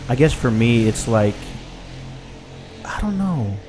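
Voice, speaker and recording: A man's voice: medium pitch, sing-song, slow pace, a little mellow, faint music behind. A thirty-year-old man hosting a podcast, chatting in a laid-back way with many fillers and trailing thoughts. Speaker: podcast host. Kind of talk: casual chat.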